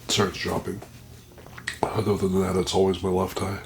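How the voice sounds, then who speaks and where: A man with a very deep, low voice talks haltingly through a pepper tasting, his nose running and blocked up. He speaks into a plugged-in microphone at home, with a faint hiss behind him. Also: little pitch movement. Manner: halting, describing the burn as it builds. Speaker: pepper reviewer. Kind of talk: casual vlog commentary. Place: indoors at home.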